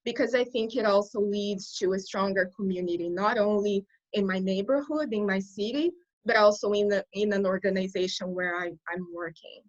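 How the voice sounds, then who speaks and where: A woman's voice: mid-range voice, normal speed. Earnest Brazilian woman with a dull lo-fi sound. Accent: Brazilian Portuguese. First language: Portuguese. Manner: earnest, restarts phrases while thinking. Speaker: guest. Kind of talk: conversational interview.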